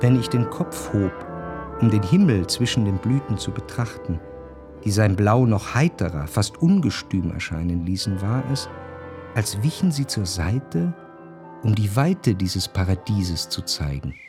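An actor playing a nostalgic gentleman narrator in a literary radio drama, recalling his past in contemplative, lyrical, measured phrases. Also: lowish voice, big pitch swings. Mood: wistful, contemplative. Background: faint instrumental music.

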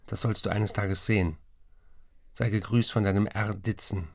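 An actor playing a troubled, bitter German writer reading out his letters in a measured, formal documentary drama style. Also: on the low side, steady tone, gravelly, no echo, studio quality. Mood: subdued.

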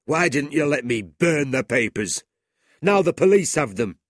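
A man's voice: a little high, big pitch swings, unhurried, no echo, clean studio sound, voice pushed up. A man voicing an angry, bitter one-eyed schoolmaster in a storybook reading. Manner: angry and loud.

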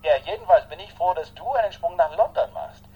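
An actor playing a breezy, jovial bachelor leaving a rambling, hesitant phone message in a radio drama. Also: male voice, high voice, fast talker, highs cut off, slight background noise. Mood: nosy, jovial.

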